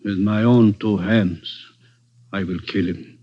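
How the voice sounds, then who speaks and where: A grieving father in halting English. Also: fairly low pitch, steady tone, husky. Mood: grim. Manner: halting broken English, grave and slow. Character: grieving bitter older father of a criminal. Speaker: witness. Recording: dull old radio broadcast.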